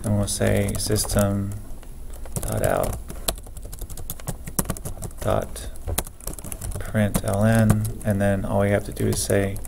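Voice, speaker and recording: Slow, gravelly man teaching code. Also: fairly low pitch, completely flat delivery, background noise. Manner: slow, methodical, thinking aloud while typing. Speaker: programming teacher. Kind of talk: screencast coding tutorial. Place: at a computer, keyboard typing heard.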